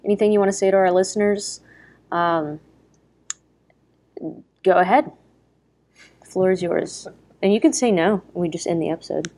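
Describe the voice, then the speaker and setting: An American woman hosting a podcast in casual chat, chatty and full of quick asides. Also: fairly low pitch, mellow. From United States. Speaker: podcast host.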